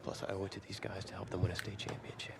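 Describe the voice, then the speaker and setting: A man's voice: deep voice, monotone, racing, vocal fry. An actor playing a proud young male quarterback who hides his illness, defensive and intense, heard through game audio. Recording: game audio with background noise.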